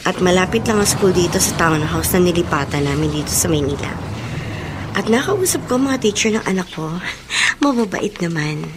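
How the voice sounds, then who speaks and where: A woman's voice: fairly low pitch, lively intonation, moderate pace, background noise, natural voice. An actress with a Filipino accent playing an aggrieved, unforgiving young mother in an emotional radio drama. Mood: wistful, musing.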